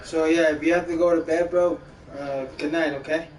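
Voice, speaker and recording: A man's voice: fairly high pitch, level tone, normal speed, slight background noise. Echoey distant male game streamer. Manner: talks like an older mentor, repeats himself, calls the listener bro and buddy. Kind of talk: casual chat while streaming a game.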